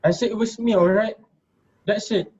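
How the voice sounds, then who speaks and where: An earnest student who pleads and takes the blame, acted by a man in an amateur drama. The voice is high and mellow, with a husky grain. The recording sounds a little dull. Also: steady tone, normal speed, lightly acted. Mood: defiant. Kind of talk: amateur reader's theater acting.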